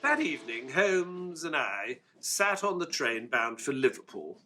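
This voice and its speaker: A man playing an earnest, excitable Victorian gentleman, a detective's companion and narrator, in an audio drama. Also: medium pitch, a little slow, slight background noise. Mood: grave, narrating.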